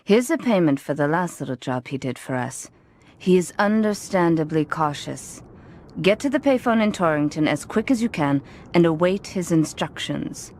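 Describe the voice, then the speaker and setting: Low-voiced, ruthless gangster woman. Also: expressive, normal speed, darkish tone, a little hiss or hum. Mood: cool, businesslike. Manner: composed, businesslike, menacing. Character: cool, ruthless female gangster boss giving orders. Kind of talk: video game cutscene acting.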